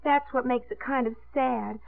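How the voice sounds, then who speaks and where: Lovestruck teenage daughter, high voice, breathless gush, vintage radio comedy, phone-like band. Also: female voice, steady tone, normal speed, creaky. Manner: breathless, earnest, gushing. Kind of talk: 1950s radio comedy-drama acting. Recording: muffled narrow-band 1950s radio recording.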